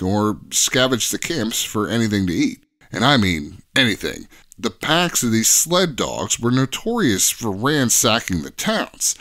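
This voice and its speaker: A man's voice: medium pitch, a bit bright. A man giving an informative, scripted-sounding podcast explanation as a guest expert, with an articulate storytelling delivery.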